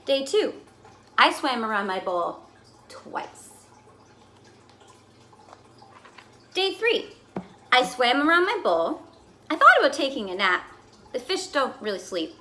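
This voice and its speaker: Woman reading as a dramatic, fussy pet goldfish, swooping pitch. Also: a little slow, long pauses, a little hiss or hum, exaggerated voice. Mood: dry, bemused. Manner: dramatic, exasperated, expressive storytelling. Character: fussy, put-upon pet goldfish telling its diary. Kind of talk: expressive children's picture book read-aloud.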